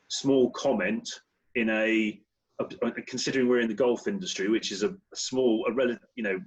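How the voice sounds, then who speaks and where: A man's voice: fairly low pitch, husky, low-quality recording. A man who owns a business joins an online webinar to share his own experience, stumbling and repeating words as he talks earnestly. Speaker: business owner attendee.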